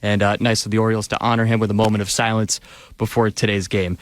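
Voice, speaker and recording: A man hosting a pregame radio show from a studio, speaking in a steady broadcast manner with the odd filler word. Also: fairly low pitch, fast, no room sound. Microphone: studio microphone.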